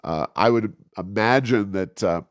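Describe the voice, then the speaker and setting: Man, low voice, dry close sound, podcast host, informal political commentary, halting and stammering delivery. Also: dry recording.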